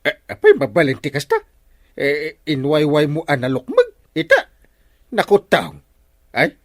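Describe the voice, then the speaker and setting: A stammering village uncle from an Ilocano radio comedy. His pitch leaps around with each exclamation, and the recording is dry, with no room sound. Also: wildly swooping pitch, a little slow, no room sound, exaggerated voice. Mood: flustered. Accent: Ilocano Filipino. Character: village uncle, stuttering comic authority figure.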